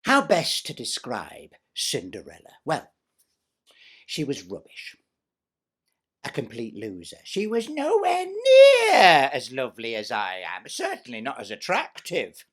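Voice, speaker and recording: A man's voice: medium pitch, wildly swooping pitch, a little slow, fairly bright tone, heavily acted. A man voicing a vain, bossy, spiteful stepsister in a chatty, self-satisfied comic pantomime monologue. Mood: disdainful, smug.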